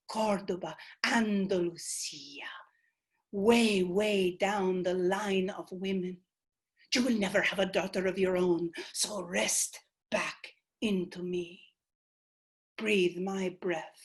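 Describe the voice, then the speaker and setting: A woman's voice: on the low side, steady tone, breathy edge. A woman playing a stern ancient foremother spirit. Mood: solemn. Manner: stern, commanding, deliberate. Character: ancient fierce female ancestor spirit. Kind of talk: one-woman stage monologue.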